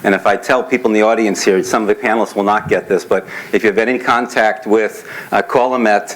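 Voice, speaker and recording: A man in his sixties from California telling his story on a conference panel in a fluent, measured way. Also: lowish voice, steady tone, low-quality recording. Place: conference hall.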